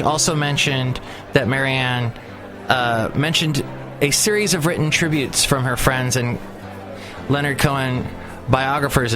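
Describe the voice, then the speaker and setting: Man hosting a solo podcast; chatty, rambling, mid voice, music bed under him. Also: mid-range voice. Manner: rambling, chatty, many fillers and restarts. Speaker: podcast host. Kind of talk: casual solo podcast talk.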